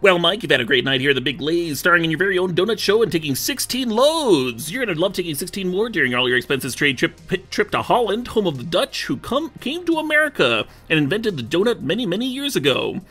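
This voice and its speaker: A man voicing a slick infomercial prize announcer with rapid, upbeat sales patter. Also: high voice, big pitch swings, bright, forceful tone, soft background music, pitched above the performer's own voice, full character voice.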